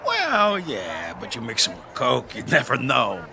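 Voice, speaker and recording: A man's voice: medium pitch, huge pitch swings, measured pace, gravelly, a crowd in the background, full character voice. A man playing a chatty bartender in an audio drama, with a folksy, casual and informal manner. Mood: friendly, casual. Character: chatty, easygoing male bartender. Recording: noisy bar-like ambience.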